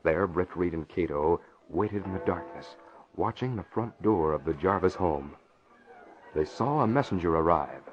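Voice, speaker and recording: A male narrator of an old-time radio drama. His voice is low, and he delivers scripted story links. The recording is muffled like a vintage broadcast. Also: lively intonation, normal speed.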